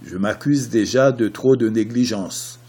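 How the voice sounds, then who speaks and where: A man playing a young nobleman torn between love and honour in a classical French verse tragedy, declaimed in a solemn, measured way. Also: medium pitch, warm tone, slightly rough. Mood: resolute.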